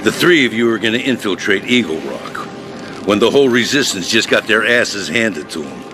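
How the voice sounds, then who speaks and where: Actor as menacing deep-voiced survivor boss. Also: male voice, lively intonation, moderate pace, lightly acted. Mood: mocking, distrustful. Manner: threatening, gruff, blunt. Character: hard, suspicious male leader of tunnel-dwelling survivors. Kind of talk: video game cinematic acting. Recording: loud music behind.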